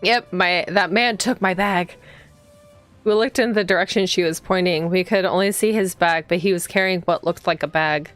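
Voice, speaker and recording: Low-voiced woman streamer, chatty, over music. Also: fairly even intonation, normal speed, a bit bright. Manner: chatty, thinks aloud, reacts to the story. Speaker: streamer playing a visual novel. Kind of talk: casual livestream commentary and reading game text aloud. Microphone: streaming microphone. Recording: background music behind the voice. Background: game music.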